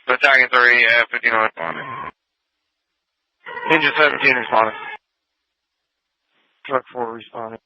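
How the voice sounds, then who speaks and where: A man's voice: mid-range voice, steady tone, moderate pace, long pauses, sharp tone, dry recording, dull audio. A man working as a firefighter, giving brief status reports over two-way radio. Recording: band-limited emergency scanner radio.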